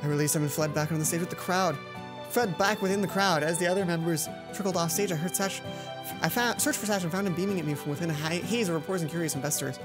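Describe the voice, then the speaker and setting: A woman's voice: fairly low pitch, fast. Dramatic woman narrating over music. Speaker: let's play host. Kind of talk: visual novel read-aloud with casual commentary.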